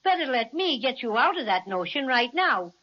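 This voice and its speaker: A woman's voice: high voice, big pitch swings, normal speed. A woman voicing a small, boastful toy bear in a children's radio serial, plucky and folksy. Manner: plucky, folksy, self-important. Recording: dull lo-fi audio.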